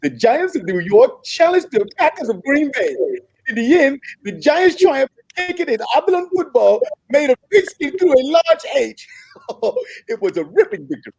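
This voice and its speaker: Man playing a mellow, earnest young African prince. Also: very high-pitched, big pitch swings, moderate pace, dry recording, full character voice, rough recording. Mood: excited. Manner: formal, courteous, hesitant. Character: polite, earnest young African prince posing as humble worker. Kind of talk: comedy script table read. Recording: clear background noise with clicks.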